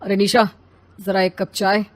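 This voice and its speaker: A woman's voice: medium pitch, flat delivery, unhurried, close to an everyday voice. A woman voicing a grumpy, lazy, self-important husband and father who complains and makes demands in a story. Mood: demanding.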